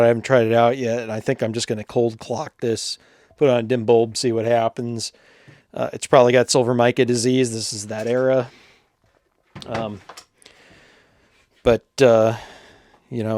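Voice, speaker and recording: A man presents a repair job in a casual, unscripted way, thinking aloud as he works. His delivery is informal and halting, with muttered asides. His voice sits at a medium pitch and is recorded close and dry, with very little room sound. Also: a little mellow, no echo. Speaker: vintage radio repairer presenting his own video.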